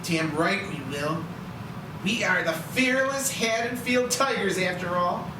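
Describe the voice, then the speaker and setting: A woman's voice: lowish voice, lively intonation, measured pace. Woman playing a shaken teenage girl, very echoey. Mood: defiant. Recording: very echoey, distant, clear noise.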